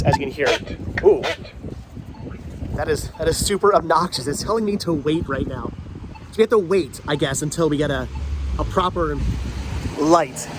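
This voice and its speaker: A man with a stuffy, congested voice from a cold, chatting enthusiastically as he walks outdoors on a rainy town street and films himself. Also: sits high. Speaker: vlogger. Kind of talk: walking tour vlog. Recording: light street noise.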